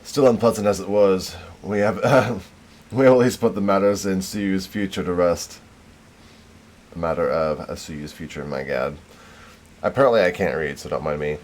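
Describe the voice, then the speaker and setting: A man's voice: deep voice, moderate pace, darkish tone. A man live streaming a game, chatting casually in a laid-back way with some casual swearing.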